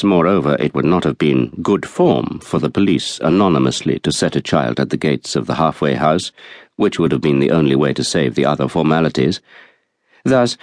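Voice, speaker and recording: A man reading a literary novel as an audiobook narrator, in a measured, articulate storytelling manner. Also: deep voice, darkish tone, no room sound, clean studio sound.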